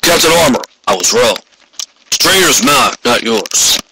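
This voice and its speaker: A man's voice: medium pitch, lively intonation, measured pace. A man voicing a stern, curt old male pony guard commander in a storybook reading, terse and authoritative, on a noisy recording. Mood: grave. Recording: clearly noisy amateur recording with clicks.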